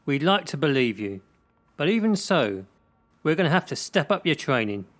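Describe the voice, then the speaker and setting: A man's voice: fairly high pitch, very animated, moderate pace, a bit bright. A man acting in a radio play as a stern, controlling gymnastics coach.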